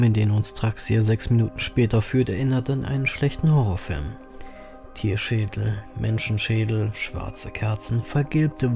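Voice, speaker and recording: Man playing gravelly, brooding noir reaper. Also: mid-range voice, natural voice. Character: weary, wry male ferryman reaper in noir city. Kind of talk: scripted first-person noir narration, German audio drama.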